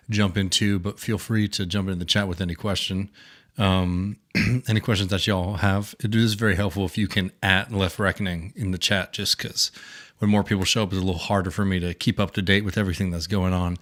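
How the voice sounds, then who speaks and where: A man with a slightly hoarse, fairly low voice hosts a casual live stream. He talks in a relaxed, friendly way, full of ums and uhs. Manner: relaxed, filler-heavy, friendly. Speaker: livestream host.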